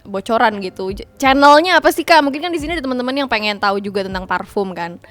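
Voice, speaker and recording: A woman's voice: on the high side, lively intonation, fast, a bit bright. A woman with an Indonesian accent hosting a casual, chatty conversation, informal and sprinkled with English words. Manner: informal, chatty, often checks for agreement. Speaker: host interviewing a colleague.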